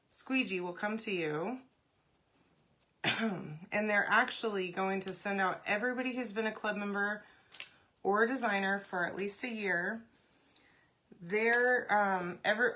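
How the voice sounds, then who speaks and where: A woman hosting a casual craft live stream, congested and often clearing her throat, chatting and greeting viewers by name. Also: mid-range voice, pushed and bright, a little hiss or hum.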